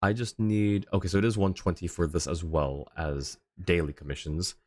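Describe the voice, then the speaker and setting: Low-voiced man chatting on a stream. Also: steady tone, moderate pace, darkish tone, dry recording. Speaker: game livestreamer. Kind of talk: casual livestream chat.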